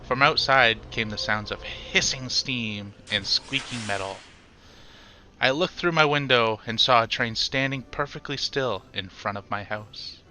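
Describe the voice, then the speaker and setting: A man narrating a children's picture book aloud in expressive, measured, dramatic phrasing. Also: medium pitch. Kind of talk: expressive bedtime-story narration.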